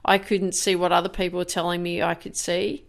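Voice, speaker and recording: Fifties woman podcaster, lowish smooth voice w some fry, halting and honest. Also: level tone. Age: 50s. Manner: candid, halting, many restarts and fillers. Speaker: podcast host. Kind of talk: reflective personal monologue.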